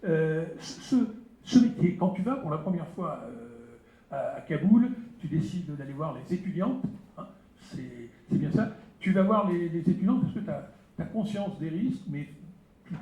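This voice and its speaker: A man's voice: on the high side, animated, a little slow, warm tone. A man, a journalist, moderates a round table, speaking emphatically and repeating words for stress. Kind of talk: panel moderation. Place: library auditorium. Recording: echoey hall, dull lo-fi sound.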